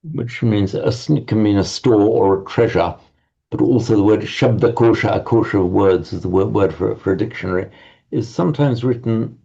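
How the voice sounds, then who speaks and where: A man's voice: on the low side, vocal fry, warm tone, slightly rough, dull audio. A man teaching an online language class, patiently correcting pronunciation and often stammering over his words. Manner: halting, stammering repeats, patient. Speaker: Sanskrit teacher.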